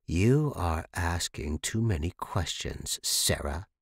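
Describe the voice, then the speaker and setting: A man's voice: low voice, animated, slow, creaky, slightly rough, dry recording, pitched below the performer's own voice, full character voice. Guy reading as creepy landlady in a horror tale, cold n curt, menacing, dry close sound. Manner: curt, glaring, ominous. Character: cold, menacing landlady of a sinister boarding house. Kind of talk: dramatized horror audiobook reading.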